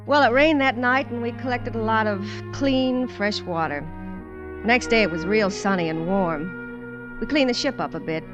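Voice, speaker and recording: A woman's voice: mid-range voice, natural voice. An actress in a 1950s radio drama, playing and narrating as a wry, streetwise, colloquial showgirl castaway. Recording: dull vintage radio recording.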